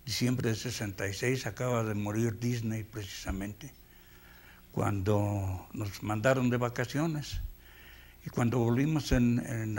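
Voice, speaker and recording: An elderly man with a Mexican accent recalls his working life in an interview. He is reflective and halting, pausing to search for the next word. He sounds like a veteran telling his story at his own speed. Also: on the low side, gravelly. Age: 80s. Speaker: veteran animator being interviewed. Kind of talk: reminiscing interview.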